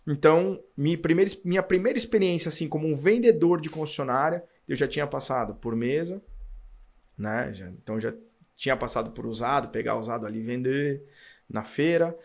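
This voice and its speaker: A man, a podcast guest telling his career story in an animated way. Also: a little high, brisk, creaky. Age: 40s. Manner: animated storytelling, acts out past dialogue. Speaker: podcast guest, car dealer. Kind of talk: casual storytelling interview.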